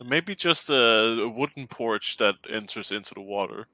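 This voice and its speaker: A man's voice: lowish voice, moderate pace, sharp tone, no echo. Giggly man with a Danish accent. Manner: hesitant, giggly, many restarts. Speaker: client commissioning a map. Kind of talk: casual chat.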